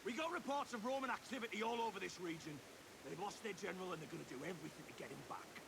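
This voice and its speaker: A man playing a wary barbarian scout leader who gives low, urgent, half-whispered warnings. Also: animated, very fast, creaky. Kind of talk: video game cutscene acting. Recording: noisy, from off the mic.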